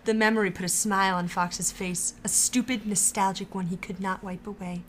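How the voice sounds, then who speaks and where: A woman narrating a fan audio drama in a calm, even storytelling voice. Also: medium pitch, moderate pace, slight background noise.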